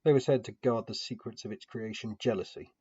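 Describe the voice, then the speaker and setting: A man's voice: lowish voice, a little fast, a little mellow, breathy edge, highs cut off. A man reading scripted first-person fantasy fiction as narrator.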